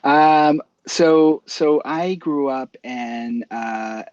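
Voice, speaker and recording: A man's voice: on the high side, very slow, darkish tone, no echo. Rambling man in a dry, muffled call. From Ecuador. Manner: rambling, many fillers and restarts. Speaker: guest animation artist. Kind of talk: casual interview chat. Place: home room with books and toys. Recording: dry, dull lo-fi call audio.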